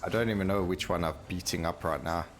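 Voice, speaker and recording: A man's voice: low voice, fairly even intonation, fast. A man hosting a gaming video, casually thinking aloud and explaining his choices to viewers as he plays. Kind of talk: casual gameplay commentary. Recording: game music behind the voice. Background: video game music.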